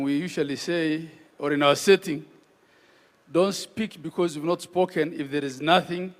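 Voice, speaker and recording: A man, a governor giving a formal, courteous address. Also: on the high side. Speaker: county governor. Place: large conference hall. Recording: long hall reverb.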